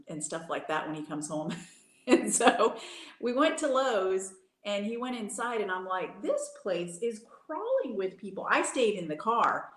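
Woman chatting in an echoey room. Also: on the low side. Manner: storytelling, animated anecdotes with laughs. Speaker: yarn dyer and shop co-host. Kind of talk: casual livestream chat. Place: room at home. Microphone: webcam video call. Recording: echoey room over webcam.

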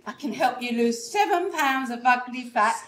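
A woman's voice: a little high, lively intonation, normal speed, a bit bright. A woman playing a vain, spiteful, man-hungry ugly stepsister in a stage pantomime, with gushing, exaggerated delivery. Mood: gleefully mocking. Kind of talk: broad stage pantomime comedy. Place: indoor stage, amateur theatre performance. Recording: roomy stage recording, noticeable background noise.

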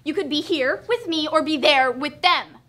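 A woman's voice: sits high, expressive, moderate pace, a bit bright. A teenage girl performing a theatrical monologue as a hurt, jealous young wife, emphatic and sarcastic. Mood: exasperated, pleading. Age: teens. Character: hurt, jealous young wife berating her self-absorbed husband.

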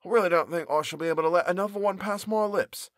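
A young male baker, trapped and thoroughly fed up, as a male narrator puts him on, complains in a pitched-up, exasperated voice. His tone is strained and unlike the narrator's usual sound. He is a storybook character in a dry, close recording. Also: quick pace, no room sound, lightly acted.

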